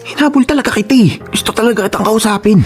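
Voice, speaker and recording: A man's voice: high voice, quick pace. A man with a Filipino accent playing a resentful young suitor in a radio drama, pushy and accusing. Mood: urgent, insistent. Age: young adult. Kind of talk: Tagalog radio drama acting. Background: music.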